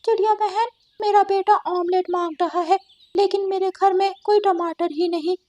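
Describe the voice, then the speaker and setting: A woman voicing a fretful female parrot neighbour in a cartoon, speaking in an animated, pleading manner. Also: very high voice, moderate pace, no room sound, voice pushed up. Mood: concerned.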